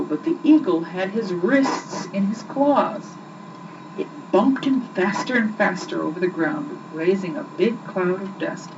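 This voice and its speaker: A young woman reading a children's story aloud with expressive, scripted narration, on an old amateur recording. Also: fairly low pitch, distant mic. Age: young adult. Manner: expressive, acts out the dialogue. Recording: muffled, noisy old amateur home recording.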